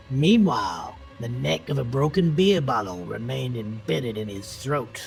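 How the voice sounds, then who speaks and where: A man tells a horror story in a deliberate, suspenseful way. His pacing is slow and his pitch moves expressively through the dramatic scripted narration. Faint music runs underneath his voice. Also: vocal fry, low-quality recording. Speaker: audiobook-style narrator.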